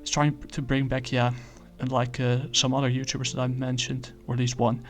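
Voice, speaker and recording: Male comic reviewer, middle pitch, monotone, hesitant with lots of fillers. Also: soft background music. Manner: halting, many fillers and restarts. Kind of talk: casual spoken review.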